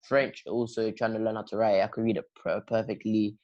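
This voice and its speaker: A man's voice: lowish voice, level tone, brisk, darkish tone, no room sound, highs cut off. A teenage boy, chatting casually as a podcast guest, rambling with lots of fillers, slang and laughs. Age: teens. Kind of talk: casual chat.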